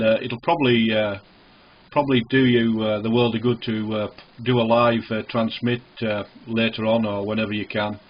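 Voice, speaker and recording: A man's voice: lowish voice, slight background noise. A man speaking as an amateur radio operator in a casual, hesitant, reassuring on-air contact with call signs. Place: small indoor radio shack. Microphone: small camera near the radio's speaker. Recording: dull, muffled camcorder recording in a radio shack.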